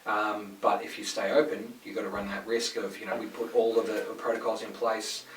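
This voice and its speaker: A man's voice: fairly low pitch, level tone, brisk, slight background noise. A man hosting a podcast, chatting casually and telling stories with lots of swearing, acting out the people in them. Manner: swearing storyteller, acts out people in his stories. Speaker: podcast host. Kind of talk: casual chat. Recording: echoey room, mic at a distance.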